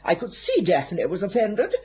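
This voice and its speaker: An actress playing an imperious, exasperated middle-aged aristocratic lady in a radio comedy, with a husky voice and an upper-class English accent. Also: medium pitch, wildly swooping pitch, measured pace, darkish tone, heavily acted. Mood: exasperated, scolding. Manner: grand, scolding, gushing in company. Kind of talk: BBC radio comedy drama acting.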